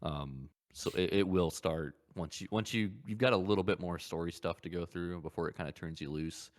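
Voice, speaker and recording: A man's voice: deep voice, moderate pace. A man co-hosting a podcast, talking in an informal, conversational way. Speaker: podcast co-host. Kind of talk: casual chat.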